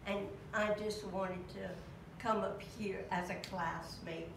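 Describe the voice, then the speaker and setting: Slow older woman in echoey church. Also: mid-range voice, steady tone, gravelly. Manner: slow, warm storytelling with chuckles. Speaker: classmate giving a eulogy. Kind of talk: memorial tribute speech. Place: church sanctuary at a pulpit. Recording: echoey church, distant mic, room noise.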